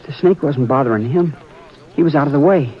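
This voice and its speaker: A man's voice: fairly high pitch, brisk, lightly acted. An actor playing a frightened young ranch boy in an old radio drama, talking in a strained, pleading way. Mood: curious. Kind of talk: 1950s radio drama acting. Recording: dull old radio transcription.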